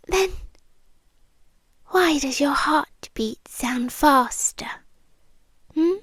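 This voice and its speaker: Slow, high-voiced British vampire girlfriend, played by a woman. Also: slightly rough, no echo, exaggerated voice. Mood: teasing, probing. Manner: soft, deliberate, lingering pauses, slipping into whispers. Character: possessive vampire girlfriend, gentle, coaxing, quietly menacing. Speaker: ASMR roleplay performer. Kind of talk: ASMR roleplay, slow and intimate, often whispered. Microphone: close microphone, dry.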